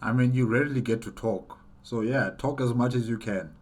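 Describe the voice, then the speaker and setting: A man's voice: mid-range voice, a little fast, faint music behind. A man hosting a reaction video, commenting casually and emphatically, repeating phrases for stress.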